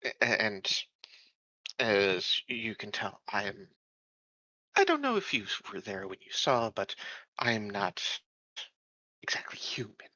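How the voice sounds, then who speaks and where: A man plays an earnest, secretive non-human security officer in a tabletop game. The voice is bright and swings widely in pitch, with polite, hesitant phrasing. The recording is dry and a little dull. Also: wildly swooping pitch, unhurried, pauses often, no room sound, full character voice. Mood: confiding.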